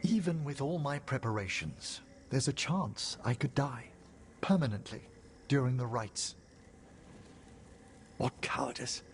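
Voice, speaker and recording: A man's voice: mid-range voice, expressive, unhurried, long pauses, a little hiss or hum. A man playing a courteous, reflective older necromancer scholar in a video game, with formal, theatrical, erudite phrasing. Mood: conflicted. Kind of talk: video game cutscene acting. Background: music.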